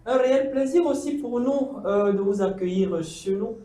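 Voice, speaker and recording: Casting: Cameroonian male presenter, high voice, a bit off-mic in an echoey room, formal, measured, says "euh" a lot. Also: warm tone, far from the mic. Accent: Cameroonian French. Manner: formal, unhurried introductions with frequent fillers. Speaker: host. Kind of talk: studio talk show presenting.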